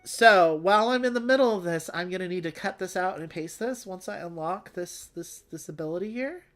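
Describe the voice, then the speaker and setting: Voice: medium pitch, a little fast, fairly bright tone. A chatty, informal streamer giving casual play-along commentary on a board game, thinking aloud with playful little interjections.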